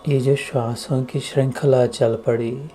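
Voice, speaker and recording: A man giving a devotional guided meditation discourse as a spiritual teacher, solemn and deliberate, speaking in short phrases with long pauses. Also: mid-range voice, level tone, dark tone, faint music behind, poor audio. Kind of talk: slow devotional meditation discourse with chanted verses.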